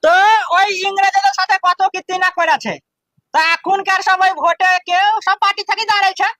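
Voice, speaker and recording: A man's voice: extremely high pitch, level tone, brisk, pushed and bright, no echo, soft background music. A man playing a cranky, flustered very old village man in a comic skit, with a rural Birbhum Bengali accent and a grumbling, complaining manner. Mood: worried, confiding. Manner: grumbling, cursing, breathless complaining.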